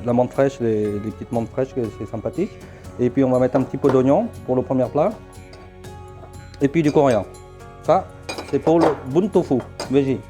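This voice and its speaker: A middle-aged man from Vietnam, a chef demonstrating dishes in his restaurant kitchen, talking haltingly with many fillers. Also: medium pitch, mellow. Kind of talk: cooking demonstration. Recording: faint music behind.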